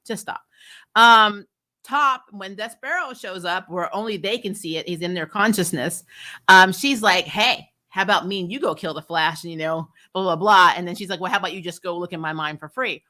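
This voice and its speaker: A woman hosting a casual, opinionated TV recap, animated and sarcastic, with mock quotes and some mild swearing. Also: lowish voice, moderate pace. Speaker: host of a TV review channel.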